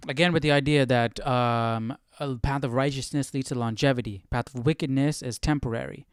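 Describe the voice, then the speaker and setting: Guy chatting through a study stream, explains stuff casually, smooth clear voice, really dry sound. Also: medium pitch, steady tone, fairly quick, dry recording, faint music behind. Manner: explanatory, often seeks agreement with tag questions. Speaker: Bible study livestream host. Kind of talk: casual live commentary on verses.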